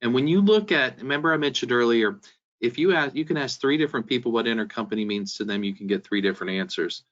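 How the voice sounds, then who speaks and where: A man presents a business webinar in a mid-pitched voice. He explains things step by step and addresses the listener directly. The audio is dull and limited in range. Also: quick pace, fairly bright tone. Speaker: software company presenter.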